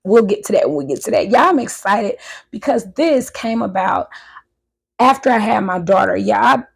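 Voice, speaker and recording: Woman in her thirties, hoarse, gravelly, husky, chatty vlog talk. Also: mid-range voice, normal speed. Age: 30s. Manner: chatty, nervous, talks straight to viewers as "y'all". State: voice recovering from loss, hoarse. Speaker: vlogger. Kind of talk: casual chat to viewers. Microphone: handheld wireless mic.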